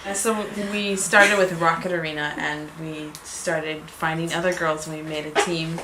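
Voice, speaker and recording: Woman gamer, lowish, relaxed, echoey noisy room. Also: normal speed. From San Jose, California. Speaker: pro gamer. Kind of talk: casual interview.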